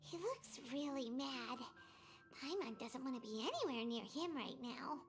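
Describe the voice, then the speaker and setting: A tiny, chirpy fairy companion. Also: female voice, sits high, measured pace. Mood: curious worried. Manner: excitable, curious, chatty. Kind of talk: video game voice acting.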